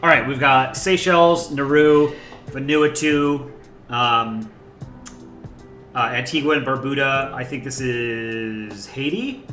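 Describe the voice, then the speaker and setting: A young man hosts a laid-back livestream, his voice bright and forward. He rambles casually and thanks viewers by name. The room is echoey, with faint music underneath. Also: mid-range voice, slow pace. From United States. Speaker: livestream host. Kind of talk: casual chat.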